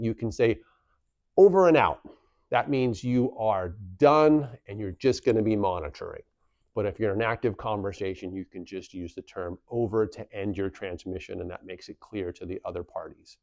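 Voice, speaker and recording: A man's voice: fairly low pitch, animated, moderate pace, mellow, no echo, lo-fi sound. A man presenting an instructional how-to, explaining plainly step by step and repeating key points for emphasis. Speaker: instructional video presenter for a community association.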